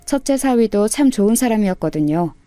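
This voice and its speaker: A woman's voice: medium pitch, lively intonation, brisk, dark tone, clean tone, no room sound. A woman reading a scripted first-person life story in a radio story style. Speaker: storyteller narrator.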